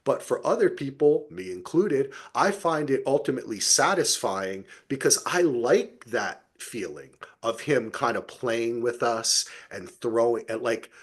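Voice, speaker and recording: Husky middle-aged man, chatty podcast host. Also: medium pitch. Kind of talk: casual TV recap podcast chat.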